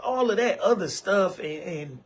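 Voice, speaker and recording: A man in his forties speaks casually and emphatically to camera, circling back to the same points and leaning on bro and man. His voice sits high for a man and has a husky, breathy edge. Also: expressive, a little slow. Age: 40s. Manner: emphatic, repetitive, keeps saying bro and man. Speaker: online show host.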